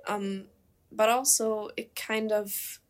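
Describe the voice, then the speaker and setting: A young woman with a German accent leading a casual conversation in an earnest, measured way. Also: medium pitch, flat delivery. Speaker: discussion moderator.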